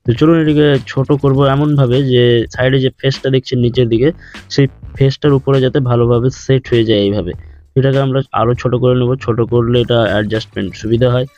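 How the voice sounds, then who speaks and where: Man, tutorial host, quick pace, step-by-step instructions, dull lo-fi recording, music behind. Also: medium pitch, fairly even intonation, a little mellow. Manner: instructional, repeats each step. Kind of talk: step-by-step software tutorial narration. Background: background music.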